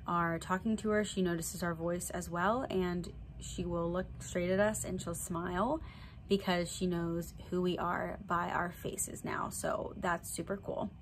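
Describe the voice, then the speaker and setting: A woman's voice: fairly low pitch, slight background noise. A young woman with a slight cold and a little nasal, chatting casually to camera in a vlog update. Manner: chatty, plain, fillers like "so yeah". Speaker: vlogger. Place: indoor room before a draped backdrop with string lights.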